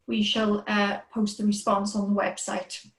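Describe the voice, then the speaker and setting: A woman's voice: mid-range voice, completely flat delivery, measured pace. A woman speaks politely and formally as the moderator of an online meeting. Her voice stays level. She sounds set back from the mic, in an echoing room. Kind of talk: moderating questions on a call. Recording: roomy, distant call audio.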